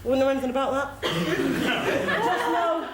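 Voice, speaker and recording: A woman playing a brassy, melodramatic mother in a stage pantomime, declaiming hammily with comic pauses. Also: high voice, lively intonation, a loud crowd. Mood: mock-solemn confession. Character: brassy, melodramatic pantomime mother confessing a secret love affair. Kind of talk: stage pantomime comedy acting. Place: theatre stage before a live audience. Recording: roomy theatre recording with noisy audience.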